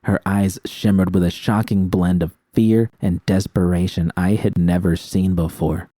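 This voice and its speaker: A man's voice: deep voice, little pitch movement, measured pace, dark tone, no echo. A man reading first-person fiction as an audiobook narrator, with dramatic storytelling delivery.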